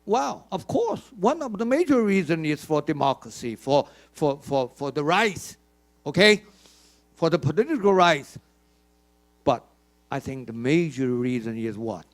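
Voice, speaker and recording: A man's voice: on the high side, expressive, unhurried. An older man giving a conference keynote, emphatic, asking the audience questions and often repeating words. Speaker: keynote speaker. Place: conference hall.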